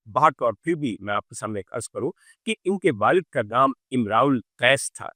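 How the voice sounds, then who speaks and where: A man's voice: on the high side, fairly bright tone, no echo, studio quality. A man telling religious history as a dramatic orator, with emphatic phrasing, rhetorical questions and repeated phrases. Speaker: religious speaker presenting a talk. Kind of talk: dramatic Urdu religious storytelling sermon.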